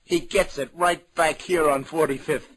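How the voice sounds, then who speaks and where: A man acting a brisk, hard-boiled New York police detective in 1940s radio drama, clipped, slangy and commanding. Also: a little high, fairly even intonation, a bit bright, lightly acted. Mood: probing. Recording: old radio broadcast recording.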